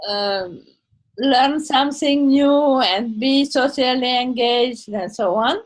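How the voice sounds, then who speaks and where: Older woman, English; slow, halting, high-pitched delivery over a video call. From Transylvania, Hungary. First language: Hungarian. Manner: halting, searches for words, non-native grammar. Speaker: Toastmasters member and blogger. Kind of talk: casual video call chat.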